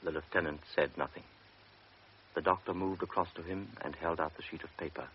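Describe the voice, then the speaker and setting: A man narrating a radio drama in a steady, restrained manner. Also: low voice, a little fast. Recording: muffled old radio recording with hiss.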